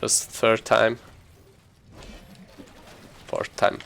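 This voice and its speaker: A man's voice: lowish voice, monotone, drawn-out words, vocal fry, fairly bright tone. A man giving casual, rambling live commentary as he speedruns a video game, thinking aloud as he plays. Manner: rambling, informal, thinking aloud while playing.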